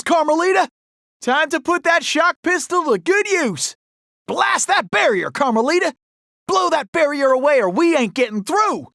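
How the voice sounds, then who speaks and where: Really high pitched cocky cowboy outlaw, thick old west southern drawl, swings all over, video game style, dry sound. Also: male voice, vocal fry, a bit bright, dry recording, full character voice. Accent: American Old West Southern drawl. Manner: folksy, exclamatory, boastful cowboy bravado. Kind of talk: animated video game character acting.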